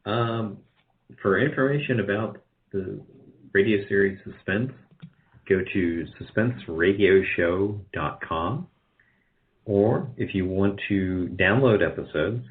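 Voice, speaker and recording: A man chatting casually as an interview guest, agreeable and somewhat halting in his speech. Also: sits low. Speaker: radio show guest, radio drama producer. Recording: narrow phone-line sound.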